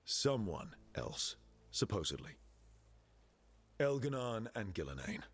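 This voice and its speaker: A fantasy game's adventuring leader. His voice is low and husky, with wide expressive movement. He is blunt, steady and wry. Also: slow, full character voice. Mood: dry, defensive. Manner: dry, wry, direct. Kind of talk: video game voice acting.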